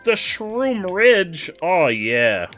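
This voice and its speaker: A young man casually commenting on a kart race while chatting with his viewers, excitable and quick to laugh. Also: sits high, soft background music. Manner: excitable, giggly, chats with viewers. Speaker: streamer.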